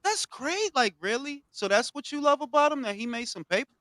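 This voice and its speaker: A man hosting a boxing talk show, ranting with heavy profanity and swinging from low mutters to loud outbursts. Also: sing-song, brisk, no echo, clean studio sound. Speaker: podcast host.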